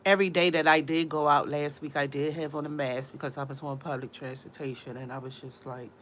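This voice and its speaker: A woman's voice: sits low, level tone, a little fast, slight background noise. A woman with a cold and a congested voice, rambling in casual chat to her livestream viewers. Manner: rambling, talks straight to viewers. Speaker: livestream host.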